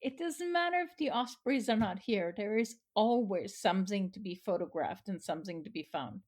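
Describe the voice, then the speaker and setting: A woman's voice: medium pitch, animated, normal speed, darkish tone. A middle-aged woman from Austria, a photographer answering interview questions earnestly, with fillers and restarts. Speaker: photographer, guest.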